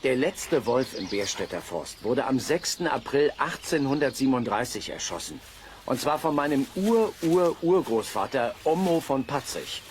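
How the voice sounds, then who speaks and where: An actor playing a stern, pompous aristocratic forest owner in a German children's audio drama, curt and condescending. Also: male voice, medium pitch, big pitch swings, normal speed, a little hiss or hum, lightly acted.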